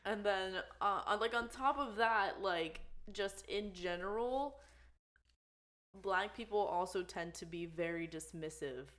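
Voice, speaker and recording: A woman's voice: mid-range voice, a little slow, fairly bright tone. A woman on a casual podcast, talking through her experiences thoughtfully and hesitantly, with many "like" fillers. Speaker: podcast co-host. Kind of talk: casual chat.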